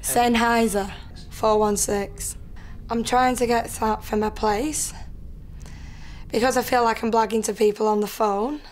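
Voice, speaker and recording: A woman's voice: fairly high pitch, steady tone, unhurried, a little hiss or hum. A young woman, talking bluntly and casually to camera in a home interview. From Lancashire, England. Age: young adult. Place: living room at home, indoors.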